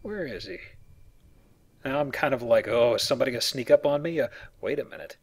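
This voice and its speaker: A man's voice: mid-range voice, a little fast, slightly rough. A man hosting a casual Let's Play, explaining the game and joking as he goes. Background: video game sounds.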